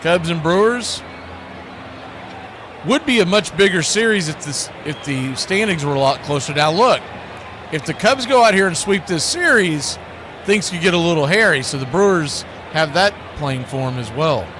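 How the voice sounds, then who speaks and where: Man calling baseball over steady noise. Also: a little high, normal speed. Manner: folksy, uses nicknames and catchphrases. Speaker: baseball play-by-play announcer. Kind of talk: live sports commentary.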